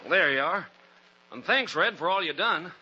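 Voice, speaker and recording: An actor playing a hot-headed, defiant young cowboy, a sheriff's wayward son, in an old radio western. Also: male voice, high voice, expressive, normal speed. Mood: softened, grateful. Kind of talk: 1940s radio western acting. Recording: muffled, noisy old radio recording.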